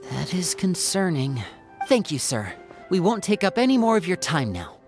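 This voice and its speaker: A woman's voice: on the low side, big pitch swings, normal speed, music in the background. An actress voicing a composed young female adventurer in a game, speaking politely and formally.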